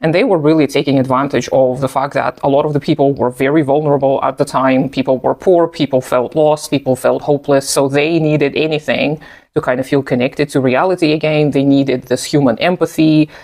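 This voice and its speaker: A man in his thirties whose first language is Russian, giving thoughtful, measured personal commentary in English with an occasional soft laugh. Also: mid-range voice, fairly even intonation. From post-Soviet country. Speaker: video essayist.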